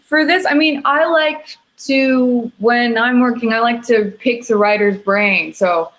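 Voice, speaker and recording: A woman's voice: on the high side, a little slow, fairly bright tone, roomy sound, poor audio. A woman answering casually as an actor on a video call panel, informal and loose in her phrasing. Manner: informal, loosely phrased with many hedging words.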